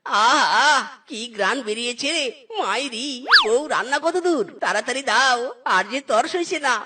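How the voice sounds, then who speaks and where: Woman playing male cartoon fox, high-pitched, food-mad, bossy, demanding, a little distant. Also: animated, moderate pace, very noisy, close to an everyday voice. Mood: eager, impatient. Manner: demanding, exclamatory. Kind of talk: cartoon voice acting.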